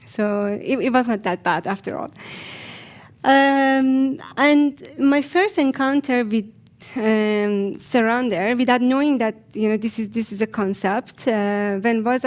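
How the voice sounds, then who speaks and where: A woman with an Iranian accent giving a personal conference talk in a reflective, hesitant way with frequent pauses. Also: a little high. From Iran. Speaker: conference speaker.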